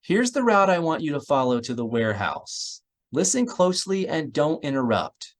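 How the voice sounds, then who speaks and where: Man doing a high, self-assured taunting woman. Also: sing-song, moderate pace. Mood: curt, commanding. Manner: cheerful, commanding.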